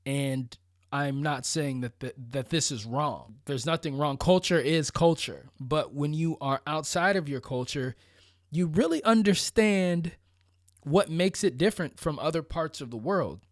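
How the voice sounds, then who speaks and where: A man's voice: fairly high pitch, a little slow, no room sound, clean studio sound. An American man from Florida giving a casual motivational monologue, conversational and emphatic, often checking in with rhetorical questions and asides. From Florida, United States. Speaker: vlogger.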